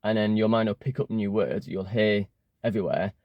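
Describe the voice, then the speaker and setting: A young Englishman speaks into his phone from his car in a fairly low voice, rambling along with plenty of fillers and false starts. The sound is dry and close, with almost no room around it. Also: a little fast, no echo, lo-fi sound. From England. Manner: rambling, with many fillers and restarts. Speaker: language learning vlogger. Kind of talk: casual talk to camera. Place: inside a parked car.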